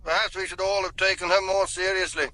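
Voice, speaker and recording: A local police inspector in a radio play, measured and faintly barbed. His voice is slightly high and sharp-edged. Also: little pitch movement, low-quality recording. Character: local police inspector on the phone, dryly pointed. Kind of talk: radio drama acting.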